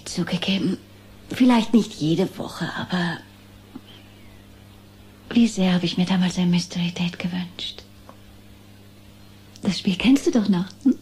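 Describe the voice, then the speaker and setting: High-voiced fretful mother played by an actress. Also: a little mellow. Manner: rambling, stammering, self-interrupting. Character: worried, fretful mother, rambling and indignant. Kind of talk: German audio drama acting.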